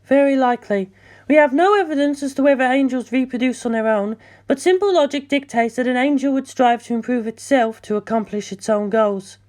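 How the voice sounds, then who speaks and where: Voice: high voice, fairly quick, darkish tone, pitched above the performer's own voice, lightly acted. A narrator voicing a weary woman scientist in clipped, precise speech for an audiobook. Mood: analytical.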